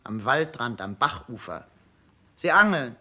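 An actress playing a plain-spoken, overworked palace maid who grumbles matter-of-factly in a 1960s radio play. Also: female voice, extremely low pitch, very animated, measured pace, sharp tone, exaggerated voice. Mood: matter-of-fact, amused.

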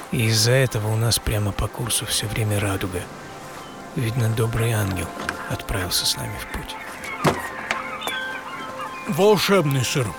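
A man playing a solitary, excitable and stubborn old-time American sea captain in a first-person audio drama, with theatrical delivery. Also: mid-range voice. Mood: delighted. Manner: theatrical, swinging from murmur to shouting. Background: music bed.

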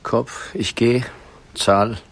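A man's voice: mid-range voice, fairly even intonation, extremely slow, gravelly, husky, heavily acted. An actor playing a wavering man who lets a coin toss decide, speaking in terse, clipped lines. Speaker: radio play actor. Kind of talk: radio drama acting.

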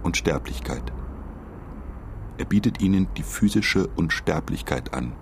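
An actor playing an earnest, long-winded envoy in a radio drama, speaking politely and articulately. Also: male voice, low voice, expressive, a little slow, a little hiss or hum. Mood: formal, persuasive. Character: earnest, wordy male envoy pitching for a mysterious client.

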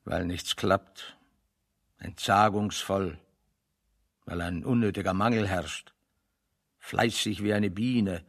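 An actor playing a weary, eloquent refugee intellectual in a radio play, speaking in a deliberate, rhetorical and dryly ironic way. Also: male voice, on the low side. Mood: fed-up, emphatic. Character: weary, eloquent male refugee intellectual, sick of being virtuous. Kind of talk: 1970 German radio play dialogue.

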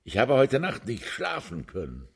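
A husky, pleading accused prisoner. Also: male voice, wildly swooping pitch, normal speed, heavily acted. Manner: pleading, emotional, swinging pitch. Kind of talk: radio drama acting.

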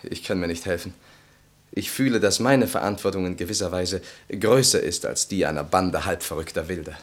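Low-voiced, earnest, troubled husband. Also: male voice, normal speed. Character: troubled, guilt-laden husband, earnest and hesitant. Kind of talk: German radio play acting.

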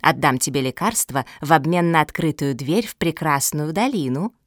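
A woman voicing a sharp-tongued, cynical female squirrel in a storybook, domineering and insinuating. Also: low voice, animated, normal speed, no echo, studio quality. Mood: triumphant. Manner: insinuating, triumphant, interrogating.

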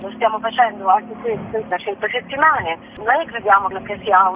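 A woman's voice: mid-range voice, normal speed, a little hiss or hum. Woman on a thin, tinny phone line. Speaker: theatre artist, interview guest. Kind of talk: spontaneous interview talk. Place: phone call to a radio show.

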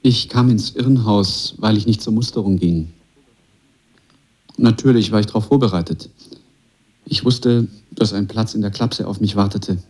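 Low, dark-voiced wry draft refuser. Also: male voice, normal speed, long pauses, slightly rough. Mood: wry, haunted. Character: young draft refuser, wry survivor of a madhouse. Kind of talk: radio drama monologue, first-person testimony.

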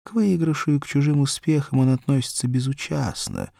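A man narrating a children's story as an audiobook, reading expressively in deliberate, measured phrases. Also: medium pitch, creaky, dark tone, no echo, clean studio sound.

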